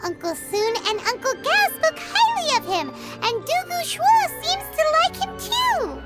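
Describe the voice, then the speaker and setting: A woman's voice: very high-pitched, very animated, a little slow, loud music behind the voice, heavily acted. A woman voicing a tiny, naive, childlike fairy girl companion in a video game. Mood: puzzled.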